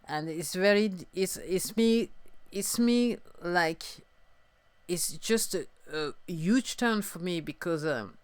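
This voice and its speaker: A French-accented woman in her fifties muses aloud, pausing and restarting as she hunts for the right words. Her voice is smooth and resonant, recorded close and dry with no room sound. She speaks English as a second language in an unhurried, thoughtful way. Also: fairly low pitch, expressive, no room sound. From France. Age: 50s. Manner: halting, searching for words, soft and musing. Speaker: singer-songwriter podcaster. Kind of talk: reflective solo podcast talk.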